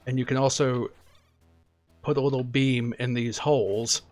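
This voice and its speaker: A man streaming a video game, talking casually and quietly about what he's doing in a relaxed, chatty way. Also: mid-range voice, moderate pace, faint music behind. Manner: soft-spoken, relaxed and chatty. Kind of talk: casual gameplay commentary.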